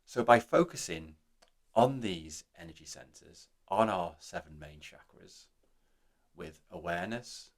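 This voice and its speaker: A man gently hosting a guided meditation in a soothing, reassuring, unhurried manner. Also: low voice, lively intonation.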